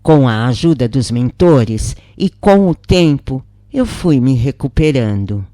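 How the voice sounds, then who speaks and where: Slow, expressive woman narrator. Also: rumbling low, no room sound, studio quality. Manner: slow, expressive, emotional first-person reading. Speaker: channel narrator. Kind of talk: slow spiritual story narration.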